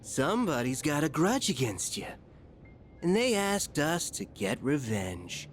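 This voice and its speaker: Slow, mocking hired thug. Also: male voice, fairly high pitch, very animated, slight background noise. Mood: smug menace. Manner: mocking, drawling, casual. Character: sneering, taunting male hired avenger, streetwise and smug. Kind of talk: video game voice acting.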